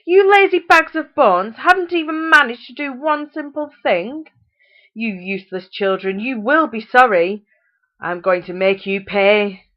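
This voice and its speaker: A woman voicing a cruel, theatrical storybook mother who scolds in a drawn-out, menacing way. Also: on the high side, animated, dull audio, voice pushed up. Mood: furious. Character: cruel, shrieking mother, false-sweet and menacing.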